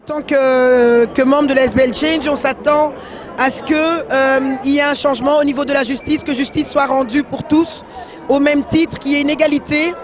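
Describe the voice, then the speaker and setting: Emphatic high-voiced man at a protest. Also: fairly even intonation, moderate pace. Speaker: member of an association. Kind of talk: street interview. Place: outdoor street protest. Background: crowd.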